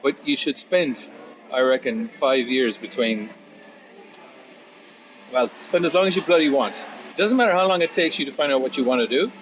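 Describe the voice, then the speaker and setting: A man of fifty talks in a casual, philosophical interview. His manner is blunt and sweary, wandering off into animated asides. His mid-pitched voice is caught on a dull, lo-fi recording. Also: normal speed, pauses often, slight background noise. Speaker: musician and guitar teacher being interviewed.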